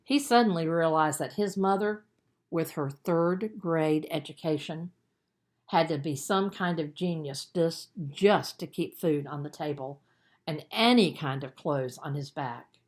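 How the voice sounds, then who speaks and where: A woman narrating a children's book as its author, in an expressive storytelling manner with deliberate emphasis. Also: deep voice. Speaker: author reading own book.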